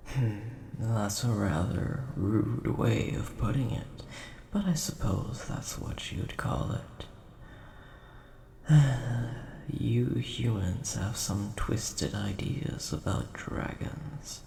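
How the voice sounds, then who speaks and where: A man voicing a large, mild-mannered, nerdy dragon with a gravelly voice and a polite, easily offended manner, in a scripted audio roleplay spoken to the listener. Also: fairly low pitch, lively intonation, darkish tone, exaggerated voice. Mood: mildly affronted. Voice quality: gravelly, creaky. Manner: polite, measured, mildly indignant. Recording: roomy echo, faint background hiss.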